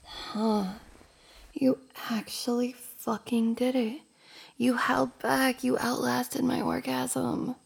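A woman playing a teasing, dominant young roommate in an intimate audio roleplay, speaking slowly and often in a whisper. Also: fairly high pitch, level tone, full character voice. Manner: slow, husky, often whispering.

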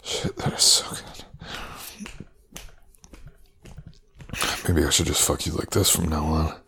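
A man's voice: low voice, slow pace, vocal fry, exaggerated voice. A man playing a cold, domineering, cruel lover in a whispered adult audio roleplay, speaking close and taunting.

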